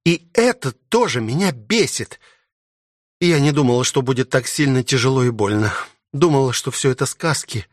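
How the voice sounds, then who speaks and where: A man's voice: medium pitch, expressive, normal speed, dry recording, studio quality, close to an everyday voice. A man voicing a fierce, possessive young princess who growls and demands in an audiobook. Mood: hurt, angry. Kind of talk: audiobook character voice.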